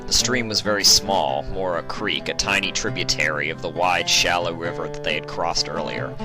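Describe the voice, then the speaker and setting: A man with a very low voice narrates a written story. He reads it as scripted storytelling, with guitar music clearly playing underneath. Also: normal speed. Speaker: narrator reading fan fiction. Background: instrumental guitar music.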